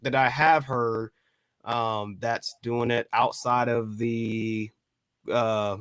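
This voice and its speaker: A man's voice: medium pitch, fairly even intonation, takes it slowly, fairly bright tone, clean tone. An American man co-hosting a hip-hop podcast, chatting in an easygoing, informal way. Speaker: podcast co-host.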